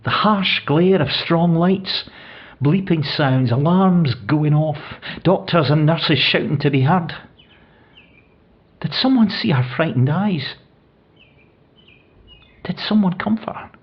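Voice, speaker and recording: An older widower delivering a tender audio-play monologue. His voice is husky and breathy, hushed and reflective as he reminisces through his grief. The recording sounds a little muffled and dull. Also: fairly high pitch, slow pace, pauses often. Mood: grieving.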